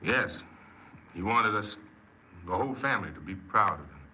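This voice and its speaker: An actor playing a troubled, defensive criminal confessing in a 1950s radio crime drama, speaking earnestly and haltingly as a proud hypnoanalyst. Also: male voice, on the low side, expressive, sharp tone. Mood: wistful pride. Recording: very noisy, muffled 1950s radio recording.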